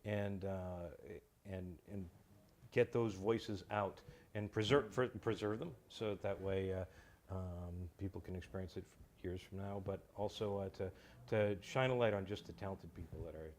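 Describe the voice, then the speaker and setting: A man's voice: deep voice, steady tone, normal speed, darkish tone, a little hiss or hum. A man speaking as a guest in a casual interview about his creative work, hesitant and often repeating words mid-sentence. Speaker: guest, writer and creator of a comedy audio show.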